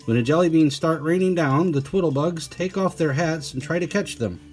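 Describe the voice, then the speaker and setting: A man voicing a pompous, excitable male detective from a children's storybook, grandly sure of himself and full of exclamations. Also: fairly high pitch, moderate pace, faint music behind, pitched above the performer's own voice, close to an everyday voice. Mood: confident deducing. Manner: grandiose, exclamatory, self-assured.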